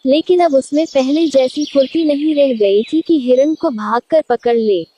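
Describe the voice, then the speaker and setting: A woman's voice: sits high, unhurried, mellow. A woman storyteller reading a scripted children's tale.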